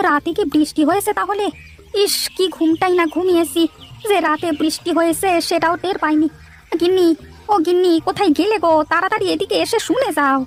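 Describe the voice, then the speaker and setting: A woman performs a cartoon farmer, a married villager with a high-pitched voice. He frets, exclaims and calls out in homely rural speech. Music plays behind the voice. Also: a little fast. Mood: fretful. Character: anxious married village farmer man, easily dismayed. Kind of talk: children's moral-story cartoon dubbing.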